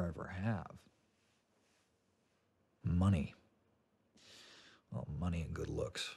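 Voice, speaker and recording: A man playing a smug, vain champion racing driver with a husky voice, boasting dismissively in a mock documentary interview. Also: sits low, a little mellow.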